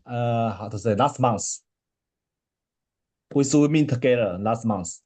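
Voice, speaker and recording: A man from China with a Chinese accent, a native Chinese speaker, answering interview questions in halting English and often searching for words. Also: medium pitch, warm tone. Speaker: interview guest, marathon swimmer.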